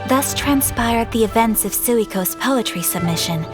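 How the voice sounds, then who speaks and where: A woman's voice: fairly high pitch, lively intonation, moderate pace, natural voice. A woman playing a refined, courteous young noblewoman in a video game, formal and composed. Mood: poised, earnest. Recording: music behind the voice.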